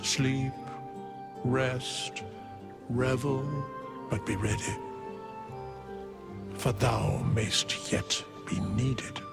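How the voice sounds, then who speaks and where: An actor playing an ancient, solemn undead scribe of fate in a fantasy game, speaking in grandiose archaic diction with wry, imperious authority. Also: male voice, mid-range voice, extremely slow, creaky, long pauses, full character voice. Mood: solemn, measured. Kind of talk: scripted fantasy game acting. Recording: loud background music. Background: music.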